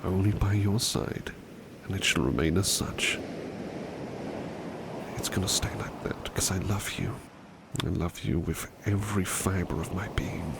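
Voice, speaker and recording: A man playing a devoted, polite butler in a soft-spoken ASMR roleplay, gentle and soothing, often dropping into a murmur or whisper. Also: low-pitched, measured pace, gravelly, pauses often, heavy background noise. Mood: adoring, tender. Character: devoted, tender, polite male butler servant.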